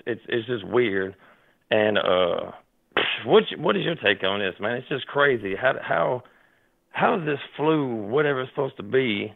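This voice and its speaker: A raspy, hoarse man talks over a tinny phone line. His voice is gravelly and his talk rambles, full of fillers and restarts. He sounds worked up and animated as a caller to a talk show. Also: mid-range voice. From Tennessee. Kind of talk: casual call-in chat.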